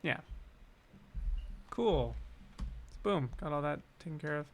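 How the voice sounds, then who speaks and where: A man's voice: on the high side, animated, slow pace, slight background noise. A man casually teaching music production on a live stream, thinking aloud and muttering short asides to himself as he works. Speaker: music composer and streamer teaching game music writing. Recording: loud instrumental music over the voice. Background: loud instrumental game music being mixed, playing during talk.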